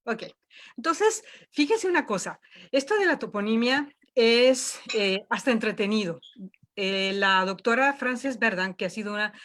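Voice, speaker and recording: A woman's voice: mid-range voice, a bit bright. A woman leading an online workshop from home, talking with many fillers and restarts. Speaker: workshop instructor.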